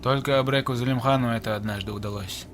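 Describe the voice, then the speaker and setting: A man playing a grim, discouraging figure in an animated film. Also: medium pitch, quick pace, slight background noise, natural voice. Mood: grim warning.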